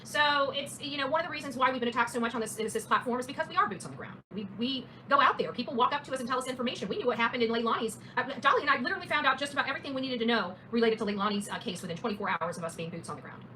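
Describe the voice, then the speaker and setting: A woman hosts a casual true-crime livestream with a fairly high, quick voice. She is blunt and opinionated, leaning emphatically on her points. There is faint noise behind her. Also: bright, forceful tone, rough recording.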